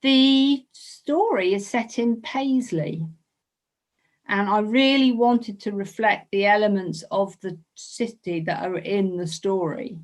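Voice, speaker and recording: A woman with a mid-pitched voice speaks as an illustrator in a relaxed interview. She thinks aloud as she goes, hesitating and circling back over phrases in a conversational way. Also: slow pace. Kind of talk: casual conversational interview about own work. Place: online video call.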